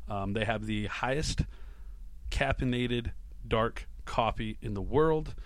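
A man's voice: medium pitch, slow, a bit bright, no echo. A man hosting a podcast, rambling casually through an introduction in an informal, self-deprecating way. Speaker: podcast host.

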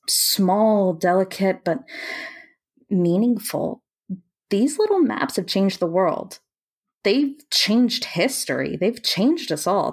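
A woman acting in an audio play as an earnest, dreamy mapmaker, speaking reflectively and pausing between phrases. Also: medium pitch, husky, heavily acted. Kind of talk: audio play monologue and dialogue.

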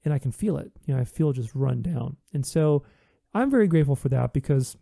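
A man's voice: mid-range voice, animated, a little fast, gravelly, warm tone, no echo, clean studio sound. A man hosting a podcast, talking earnestly in a reflective solo monologue, with many restarts. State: recovering from voice loss.

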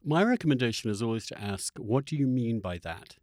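A man's voice: mid-range voice, animated, brisk, darkish tone, no echo, studio quality. A man hosting a podcast, talking in an opinionated, unscripted way, emphatic and often restarting his phrases. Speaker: podcast host.

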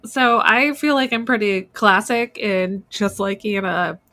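A woman's voice: mid-range voice, measured pace, sharp tone. A woman co-host talking casually in a group podcast conversation.

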